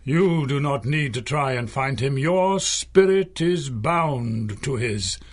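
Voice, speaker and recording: Radio-play mystic hero: solemn, mysterious, unhurried, husky, with expressive swings in a fairly high voice. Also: male voice. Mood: solemn, commanding. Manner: measured, formal, mysterious. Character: solemn, enigmatic male mind-reading mystic hero. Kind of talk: 1930s pulp radio drama acting.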